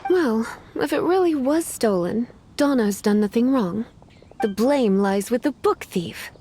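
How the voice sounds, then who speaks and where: An actress playing a teasing, unhurried adult female librarian in a fantasy video game, who calls others sweetie and cutie. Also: fairly high pitch, expressive, slight background noise. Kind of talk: game voice acting. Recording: game audio with soft music behind.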